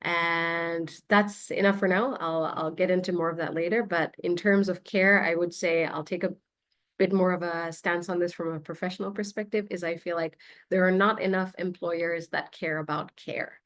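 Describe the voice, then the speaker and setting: A woman from Sri Lanka introducing herself as a panelist in a webinar, friendly and measured. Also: fairly low pitch, fairly even intonation, fairly bright tone. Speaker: incubator panelist. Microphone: video call.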